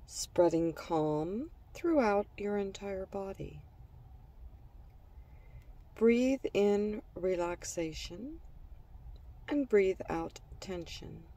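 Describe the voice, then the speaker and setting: A woman narrating a scripted guided relaxation for children in a gentle, soothing manner, leaving pauses between phrases. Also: mid-range voice, lively intonation, recorded from a distance.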